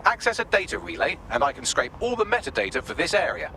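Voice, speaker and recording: Sardonic high-pitched AI assistant, very animated. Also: male voice, fast talker, creaky, slight background noise, exaggerated voice. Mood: droll, explaining. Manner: dry sarcasm, breezy and wordy. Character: witty, sardonic male AI hacker assistant. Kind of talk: scripted video game dialogue.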